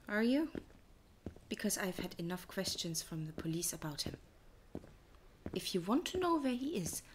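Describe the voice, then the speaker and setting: A woman playing an anxious, hurt wife in an audio drama, speaking softly and breathily with a hesitant, halting delivery. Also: fairly low pitch, slight background noise. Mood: wary. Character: hurt, anxious wife of a missing man, soft-spoken. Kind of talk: audio roleplay acting.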